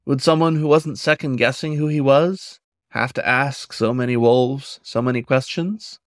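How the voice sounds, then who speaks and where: A man's voice: mid-range voice, fairly even intonation, moderate pace, no room sound, clean studio sound. A man narrating a scripted short story as the author reading his own work.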